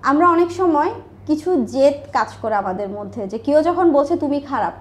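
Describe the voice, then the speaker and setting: A woman's voice: high voice, animated, normal speed. A Bangladeshi woman telling personal stories as an interview guest, talkative, repeating phrases and voicing quoted dialogue. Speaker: interview guest, small business owner. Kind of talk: conversational interview, personal storytelling.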